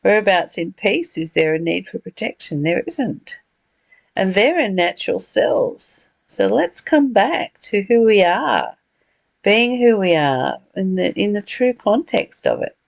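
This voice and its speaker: A woman's voice: mid-range voice, big pitch swings, unhurried, creaky, no echo. A woman leading a reflective spiritual discussion, earnest and given to rhetorical questions and repeated phrases. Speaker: study group leader.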